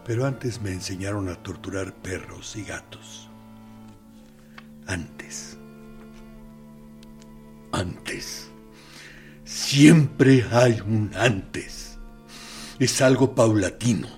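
An actor playing a male torturer captain in a radio drama, measured and defensive, justifying himself with quiet intensity. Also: on the low side, over music.

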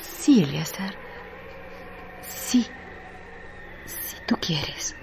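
An actress playing a devoted, anguished young woman in love in a radio drama, breathy and pleading. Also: fairly low pitch, animated, exaggerated voice. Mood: submissive. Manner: breathy whispering, slow and pleading. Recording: noisy radio drama track.

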